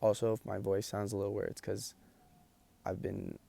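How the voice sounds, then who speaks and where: Tired, gravelly young man rambling. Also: lowish voice, little pitch movement, normal speed, mellow. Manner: rambling, loose, full of ums and likes. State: tired, losing his voice. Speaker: vlogger. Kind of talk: casual chat.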